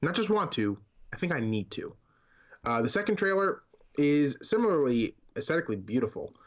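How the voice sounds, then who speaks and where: A man's voice: on the high side, sing-song, fairly quick, highs cut off. A man co-hosting a podcast, chatting casually about movies in an enthusiastic, informal and emphatic way. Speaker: podcast co-host.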